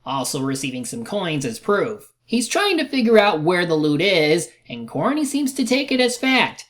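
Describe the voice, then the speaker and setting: A woman narrates a scripted review in a slightly low voice. Her intonation swings widely, and her manner is sarcastic. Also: sing-song, normal speed.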